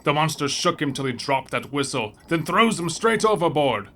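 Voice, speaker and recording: Man acting a frantic, sharp-voiced raving sailor. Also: on the high side, sing-song, normal speed, a little hiss or hum. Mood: desperate pleading. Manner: pleading, agitated, old seafaring speech. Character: frantic shackled sailor raving about a sea monster. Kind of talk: audio horror drama acting.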